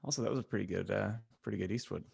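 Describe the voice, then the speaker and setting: A man's voice: fairly low pitch, rapid-fire, vocal fry, a little mellow, slightly rough, dry recording. A man co-hosting a podcast in casual, comic banter. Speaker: podcast co-host.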